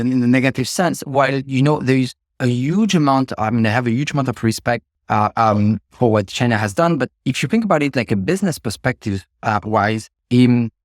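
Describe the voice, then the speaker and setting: A man's voice: medium pitch, dry recording, studio quality. A middle-aged man from France with a French accent, speaking reflectively as a guest in an interview, with many fillers and restarts. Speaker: guest, ecommerce logistics executive. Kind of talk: podcast interview.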